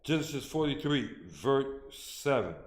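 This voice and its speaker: A man reading scripture aloud slowly and deliberately during a service. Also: medium pitch, very animated. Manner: slow, loud, deliberate reading. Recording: echoey room, voice off-mic.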